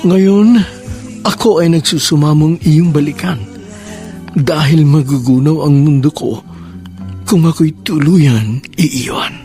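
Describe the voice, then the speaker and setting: A male radio host with a fairly high voice, narrating in a slow, solemn, poetic manner. Soft music plays beneath his words.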